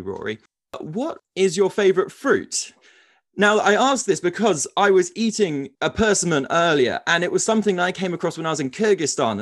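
A man's voice: fairly high pitch, no echo. Earnest male podcast host, dry close mic. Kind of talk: scripted intro then interview questions.

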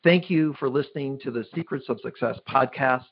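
A man hosting a podcast, closing the show with slow, deliberate phrasing and fillers. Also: medium pitch, husky, highs cut off. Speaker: podcast host. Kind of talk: podcast interview and outro.

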